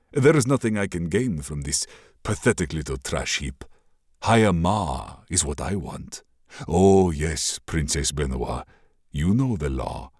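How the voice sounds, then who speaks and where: Cruel warlord prince, very deep and slow, mocking, done by a male narrator. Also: darkish tone, breathy edge, dry recording, clean studio sound, full character voice. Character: cold scheming warlord prince, male adult.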